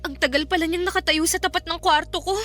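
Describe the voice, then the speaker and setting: A woman's voice: extremely high pitch, steady tone, quick pace, a bit bright, soft background music. An actress playing an anxious young woman being stalked, in an emotional Filipino radio drama style. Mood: tense.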